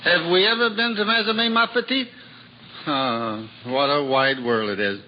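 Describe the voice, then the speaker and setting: An actor playing a weary, hungry old wandering juggler with a lilt, in a 1950s radio play, wistful and self-mocking. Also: male voice, on the high side, normal speed, natural voice. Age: older. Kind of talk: 1950s radio drama acting. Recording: dull old radio broadcast with crowd noise.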